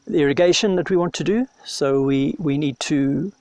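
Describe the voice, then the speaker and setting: Man from zimbabwe, technical advisor, hesitant, many pauses, dry sound. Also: fairly high pitch, no room sound. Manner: relaxed, hesitant with many ums. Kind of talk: documentary interview.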